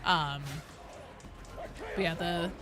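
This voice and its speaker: A woman's voice: lowish voice, steady tone, very slow, fairly bright tone, faint music behind. A woman game streamer chatting casually and playfully with her live viewers in an informal way. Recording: clear background noise behind voice.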